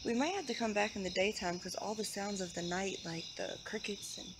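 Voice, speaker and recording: A woman's voice: mid-range voice, a little fast. A young American woman vlogging casually outdoors at night, talking into a handheld camera. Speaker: paranormal vlogger. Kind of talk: casual vlog narration and chat. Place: outdoors at night around a train station. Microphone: handheld camera microphone. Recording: noisy outdoor night recording with insects.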